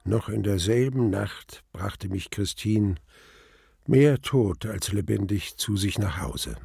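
An actor playing a grieving nobleman in a radio drama, recounting his tragic marriage in a sombre, measured, husky voice. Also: fairly low pitch, lively intonation, mellow, no room sound, clean studio sound, full character voice. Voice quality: husky, gravelly. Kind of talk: radio drama first-person narration.

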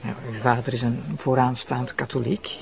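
A woman's voice: rumbling low, flat delivery, normal speed, creaky. A woman playing a persistent public prosecutor in a TV drama, polite but insistent as she questions, with a Flemish accent. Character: probing, persistent female public prosecutor posing as journalist. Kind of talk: naturalistic TV drama acting. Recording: noisy background ambience.

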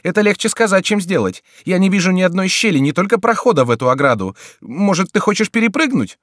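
A man voicing a timid, fussy little townsman who talks in a shrill, panicky way. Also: animated, quick pace, a bit bright, no room sound, studio quality.

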